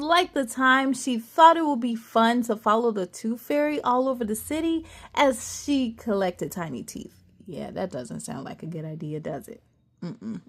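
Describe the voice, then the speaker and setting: A woman storyteller reads a children's picture book aloud, her high voice rising and falling with lively expression. She takes an unhurried pace and breaks off for playful, chatty asides to her young listeners. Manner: animated, playful, gasps and comments to listeners.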